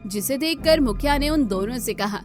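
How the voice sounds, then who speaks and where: High-voiced woman narrating a cartoon story. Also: expressive, normal speed, faint music behind.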